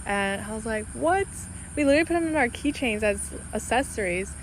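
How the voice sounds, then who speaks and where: A woman's voice: on the high side, expressive, fairly quick, a little hiss or hum, low-quality recording. A woman from Los Angeles telling a story to camera in a chatty, incredulous way.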